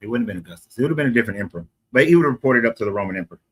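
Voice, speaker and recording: A man from Atlanta with a voice pitched right in the middle. He argues point by point, explaining at length and correcting himself along the way. It is a casual street conversation. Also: expressive, rapid-fire, fairly bright tone, slightly rough. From Atlanta, USA. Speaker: street debater.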